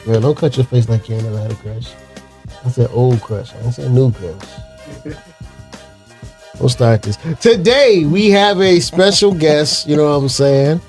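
A playful man co-hosts a relaxed podcast, talking slowly and at an unhurried pace. He jokes, laughs and sometimes drifts into a snatch of song. There is faint music behind him. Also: mid-range voice. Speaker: podcast co-host. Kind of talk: casual chat.

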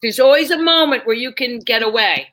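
A woman with a New York accent, telling lively stories as a podcast guest and acting out the people in them. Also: fairly high pitch, fairly quick. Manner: animated, acts out voices in her stories. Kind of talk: casual storytelling chat.

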